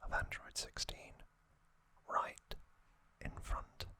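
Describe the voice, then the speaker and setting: Man whispering very slowly, ASMR style. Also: pushed and bright. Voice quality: breathy, unvoiced whisper. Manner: slow, deliberate delivery in short broken phrases. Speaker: host of an ASMR channel.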